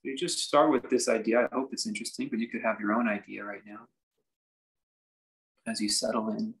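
A man teaching a guided meditation, speaking in measured, halting phrases with reflective pauses. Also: lowish voice, steady tone, vocal fry, fairly bright tone, rough recording. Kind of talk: guided meditation, unscripted instruction.

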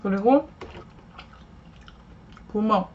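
A woman's voice: mid-range voice, very slow. A young woman streaming herself eating, chatting casually, thanking viewers and murmuring between bites in a small room. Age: young adult. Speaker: mukbang streamer. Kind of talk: casual live-stream chat while eating. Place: small room indoors at a table. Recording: dull lo-fi stream audio, faint noise.

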